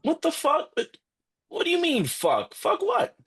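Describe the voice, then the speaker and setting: An actor playing an exhausted, lovestruck young man in a live script read, earnest and halting, with a husky voice. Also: extremely high pitch, wildly swooping pitch, a bit bright, full character voice. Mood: incredulous. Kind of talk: live screenplay table read.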